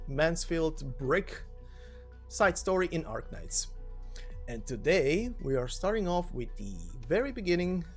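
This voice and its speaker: A man hosting a story narration channel, giving an informal, slightly halting spoken introduction. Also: medium pitch. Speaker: narrator and host.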